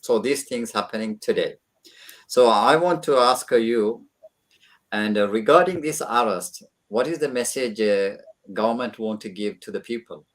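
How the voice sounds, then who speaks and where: A man with a Sri Lankan accent hosting an online panel discussion, opening with religious greetings and pausing on many fillers. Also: on the low side, low-quality recording. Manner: opens with Islamic greetings, halting with many fillers. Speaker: host.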